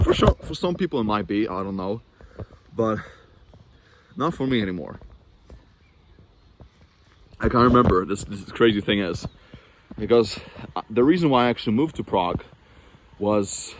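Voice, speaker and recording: A man's voice: fairly low pitch, animated, normal speed, pauses often, slight background noise, rough recording. A young man from Norway talking casually to camera in a vlog, rambling and chatty, with frequent restarts and fillers. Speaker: vlogger.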